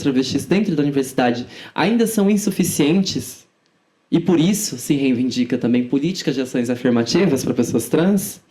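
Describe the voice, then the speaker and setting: Young woman, somewhat low-pitched informal speaker. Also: dark tone. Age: 20s. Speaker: podcast guest, student activist. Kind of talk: conversational interview answers.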